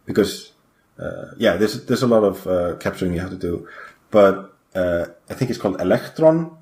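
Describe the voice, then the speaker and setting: Guy, deep voice, roomy echo, rambles with lots of uhs. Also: lively intonation, moderate pace, darkish tone. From Iceland. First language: Icelandic. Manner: rambling, hesitant with many fillers. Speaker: guest programmer. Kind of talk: casual podcast interview.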